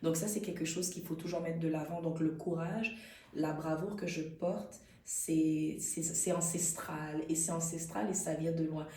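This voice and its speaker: A woman from Canada with a low voice, speaking earnestly as an interview guest, pausing and restarting as she reflects. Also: steady tone, mellow. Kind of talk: conversational interview. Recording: roomy, distant mic.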